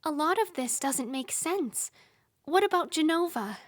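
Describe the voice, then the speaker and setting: A gentle, questioning young heroine acted by a woman in a game dub. Her voice is high and she speaks slowly and thoughtfully. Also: expressive, dry recording, studio quality. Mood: puzzled. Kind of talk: dramatic fan dub acting.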